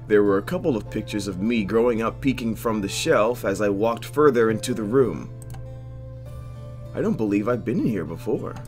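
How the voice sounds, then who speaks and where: The low-voiced guy on a gaming channel, playing through a visual novel and reading each line with animated swings over soft background music. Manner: expressive reading with stumbles and joking asides. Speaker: host of a video game playthrough. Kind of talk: let's play, reading visual novel narration aloud with off-hand comments.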